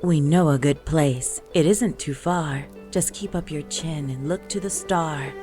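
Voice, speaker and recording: A woman storyteller reading a rhyming Christmas poem in a measured, lilting delivery. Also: deep voice. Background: music.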